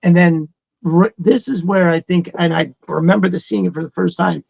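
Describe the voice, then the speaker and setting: Male podcast co-host, middle-aged, creaky voice, dry close sound, excitable, rambling, sweary. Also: high voice, normal speed, dry recording. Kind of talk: casual fan chat.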